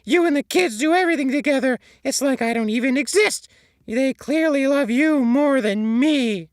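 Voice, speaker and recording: A man voicing a whiny, frantic male sea sponge who squeaks and wails in a storybook reading. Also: normal speed, no echo, clean studio sound. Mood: accusing. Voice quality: squeaky, shrill. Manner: wailing, near-hysterical outbursts.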